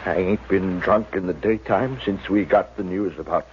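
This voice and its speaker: A man playing a gruff, hard-drinking, brave frontier father, folksy and halting with an American accent, in a 1950s radio western. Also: low voice, close to an everyday voice. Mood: brave, resigned. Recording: muffled old radio recording, faint noise.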